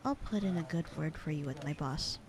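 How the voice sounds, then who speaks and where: A woman playing a bored, sarcastic, slightly flirty secretary in a casual roleplay audio drama, with a deadpan, slangy delivery. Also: low-pitched, fairly quick. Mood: reluctantly helpful. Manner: deadpan, slangy, unbothered drawl.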